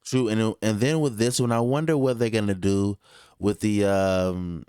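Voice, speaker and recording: A man's voice: fairly low pitch, steady tone, normal speed, warm tone, clear, resonant tone, no echo, clean studio sound. A Texan man co-hosting a podcast, laid-back and slangy in casual sports chat. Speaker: podcast co-host.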